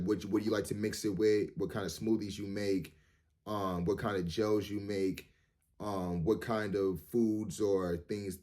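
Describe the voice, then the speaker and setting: A man in his thirties with a low, deep voice talks straight to his viewers. He rambles and restarts, repeats words, and fills his pauses with "um" and "you know". His tone stays earnest and motivational. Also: darkish tone. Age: 30s. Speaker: vlogger. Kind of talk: casual monologue to camera.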